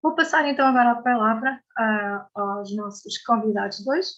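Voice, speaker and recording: A woman's voice: fairly high pitch, normal speed, fairly bright tone, muffled recording. A woman hosting an online community session, filling her sentences with hesitant "então" and "ah". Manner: hesitant, many "então" and "ah" fillers. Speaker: community organizer and host. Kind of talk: opening remarks. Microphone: video call.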